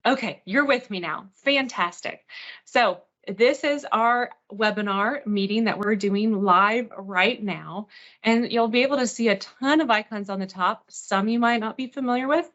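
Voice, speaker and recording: A woman hosting a live software training webinar in an informal, self-deprecating, reassuring way. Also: on the high side, normal speed. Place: online video meeting. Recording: dull lo-fi online meeting audio.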